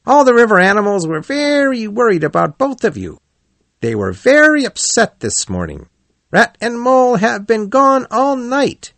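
A man's voice: sits high, a bit bright, no room sound, voice pushed up, heavily acted. A man voicing a bold, chatty otter in a storybook, swaggering and full of exclamation. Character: bold, boisterous male otter, confident and chatty.

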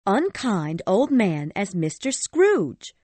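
A woman voicing a harried, sharp-tongued poor mother in a storybook reading, indignant and scornful. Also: mid-range voice, very animated, takes it slowly, no echo. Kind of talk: audiobook character voice.

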